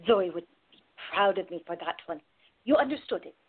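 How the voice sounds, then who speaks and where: An actress playing a playful, bold woman who teases deadpan in simple broken English in a radio play. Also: on the low side, fairly quick, slightly rough, narrow, tinny audio. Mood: teasing. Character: teasing foreign woman in costume, playful, bold, quick-witted.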